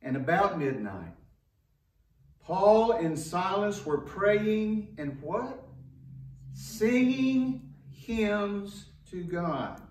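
High-pitched preacher man, echoey room. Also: very animated, a little mellow, slight background noise. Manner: emphatic, folksy, pausing for rhetorical questions. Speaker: pastor. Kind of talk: sermon to a congregation. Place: church sanctuary, indoors at a wooden pulpit. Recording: echoey room, distant microphone.

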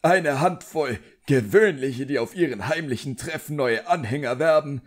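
A man's voice: mid-range voice, normal speed, pitched above the performer's own voice, lightly acted. A man voicing an irritable, contemptuous middle-aged wizard official in an audiobook, indignant, pompous and barking. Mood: angry, scornful.

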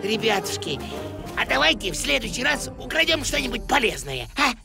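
A woman voicing a sly, grumbling cartoon porcupine thief with a gravelly, theatrical, wheedling delivery. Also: a little high, big pitch swings, fairly quick. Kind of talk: animated children's cartoon acting. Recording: music behind the voice.

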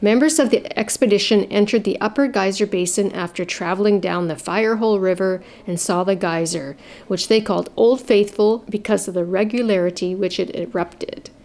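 A woman reading a scripted documentary narration in an even, informative way. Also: medium pitch, normal speed.

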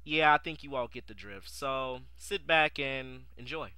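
A man's voice: medium pitch, measured pace, sharp tone, slight background noise. A man presenting a voice demo reel as an amateur impressionist, casually addressing his viewers. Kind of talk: casual video introduction and sign-off.

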